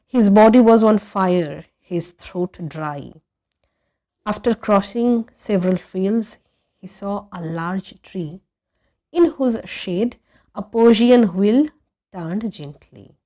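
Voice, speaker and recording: Slow, expressive woman storyteller. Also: mid-range voice. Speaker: audiobook reader. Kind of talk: expressive scripted story narration.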